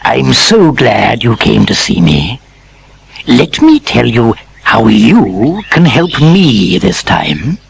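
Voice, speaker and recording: A man voicing a clever old male wolf in a storybook, gentle and sly, speaking in slow, hushed, scheming tones. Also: mid-range voice, huge pitch swings, gravelly, slight background noise, heavily acted. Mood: friendly.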